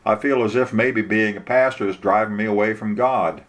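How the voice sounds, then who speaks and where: A man's voice: lowish voice, fairly even intonation, normal speed, lightly acted. A man reading a story, voicing an earnest, mild-mannered pastor in a plain, hesitant, thoughtful way. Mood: troubled, reflective.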